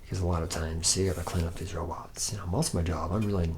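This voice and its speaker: A man's voice: low-pitched, steady tone, fast, noisy background, close to an everyday voice. A man playing a boozy, charismatic slacker robot mechanic in an ASMR roleplay, rambling in slangy, buddy-buddy talk to a trainee. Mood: casual, instructive. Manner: rambling, slangy, buddy-buddy, slightly self-deprecating. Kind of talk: improvised ASMR roleplay, addressing the listener as a trainee.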